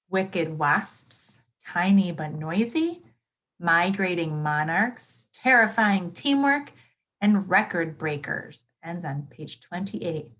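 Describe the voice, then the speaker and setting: A woman's voice: medium pitch, a bit bright, slightly rough. A woman teacher reading a nonfiction book aloud to children, expressively, with exclamations, explanatory asides and questions to her young listeners.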